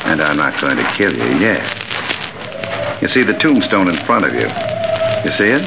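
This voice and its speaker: An actor playing a cold, sardonic killer who taunts with mock politeness, in 1940s radio thriller style. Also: male voice, sits low, very animated, normal speed. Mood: coldly menacing. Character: cold, mocking male killer taunting his doomed victim. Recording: muffled, noisy old 1940s radio recording.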